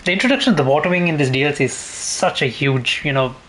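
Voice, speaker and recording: A man's voice: a little high, expressive, fast talker, fairly bright tone, a little hiss or hum, poor audio. A man giving casual gameplay commentary as a streamer, rambling and thinking aloud as he plays. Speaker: gaming streamer. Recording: faint game ambience behind. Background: video game ambience.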